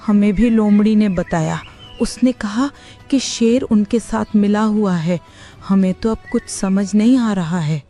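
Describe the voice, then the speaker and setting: A woman's voice: mid-range voice, a little slow, warm tone, soft background music, natural voice. A woman voicing a bold, indignant plant-eating forest animal in a cartoon, with a scolding manner. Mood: aggrieved. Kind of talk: animated moral-story character acting.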